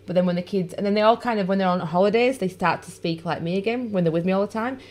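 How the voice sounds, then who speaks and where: A woman's voice: medium pitch, fast talker, darkish tone. A woman with a Yorkshire accent, a guest and vlogger chatting casually and rambling a little. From England. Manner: chatty, rambling, laughs easily. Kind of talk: casual chat.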